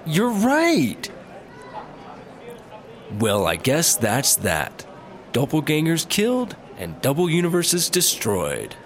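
A man playing an excitable, goofy space cop in a sci-fi comedy audio drama, with a wide-eyed, exclaiming manner. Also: fairly high pitch, takes it slowly, long pauses, heavily acted. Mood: casual, pleased. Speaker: voice actor.

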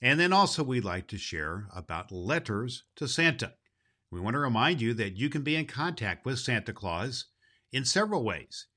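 Male performer as grandfatherly Christmas gift-bringer, jolly and hearty; forceful bright voice, swooping intonation, deliberate storyteller phrasing for kids. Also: mid-range voice, very animated. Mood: cheerful, explaining. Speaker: host of a children's Christmas story show. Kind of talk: hearty in-character greeting and storytelling for children.

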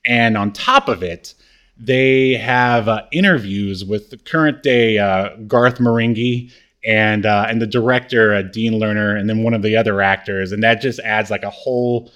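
A man with a fairly low voice chats casually as a podcast co-host. He thinks aloud, feeling his way through sentences in a halting manner. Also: fairly bright tone, clean tone. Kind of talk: casual chat about obscure media.

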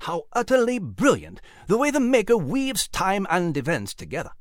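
A woman voicing a proper, bookish little gentleman animal in a children's story. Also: mid-range voice, wildly swooping pitch, normal speed, no echo, studio quality. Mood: delighted, learned. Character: Nigel, erudite bespectacled gentleman mouse, excitable and proper.

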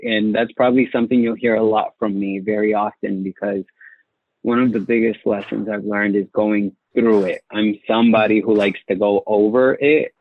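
A man's voice: lowish voice, steady tone, dry recording. Man on a tinny phone-like line. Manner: measured, repeats phrases for emphasis. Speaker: interview guest. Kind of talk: reflective personal storytelling. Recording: muffled phone-like line, very dry.

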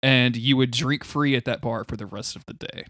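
Bright, dry-sounding teasing man. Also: medium pitch, normal speed, no echo. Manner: teasing and profane, mock-outraged. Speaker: podcast co-host. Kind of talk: casual comedic chat.

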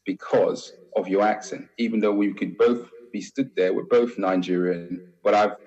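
A man's voice: fairly low pitch, fairly even intonation, normal speed, darkish tone, highs cut off. A man with an English accent, a doctor speaking earnestly and searching for words.

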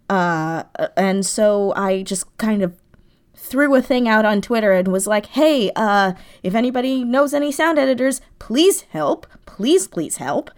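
A woman speaking as a guest in a casual interview, effusive and emphatic, often repeating words to stress a point. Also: medium pitch. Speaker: interview guest, audio drama writer. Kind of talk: enthusiastic casual interview chat.

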